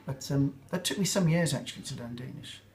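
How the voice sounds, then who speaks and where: A man's voice: mid-range voice, brisk, slight background noise. An older man with an English accent, answering interview questions in a reflective, rambling way with fillers and restarts. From England.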